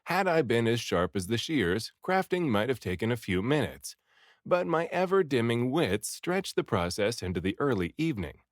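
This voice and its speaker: Guy reading a storybook out loud, really animated and theatrical, close dry sound. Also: medium pitch, normal speed, no echo. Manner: animated, theatrical delivery with acted dialogue. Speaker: audiobook reader. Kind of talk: expressive story read-aloud.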